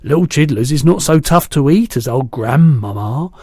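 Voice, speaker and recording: A man reading aloud and voicing a big, kindly male giant who mangles his words, in a louder and higher voice than his own. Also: expressive, normal speed, breathy edge, no room sound, clean studio sound. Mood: earnest. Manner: jumbled made-up words, booming and eager.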